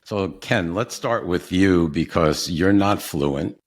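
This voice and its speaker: A man hosting a podcast interview, asking slow, drawn-out questions. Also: sits low. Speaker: podcast host.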